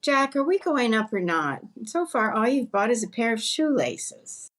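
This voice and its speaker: Wry, higher-pitched woman sidekick. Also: very animated, a little slow, a bit bright, heavily acted. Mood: wry, impatient. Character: dry, practical woman companion, gently needling. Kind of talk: 1940s radio comedy acting.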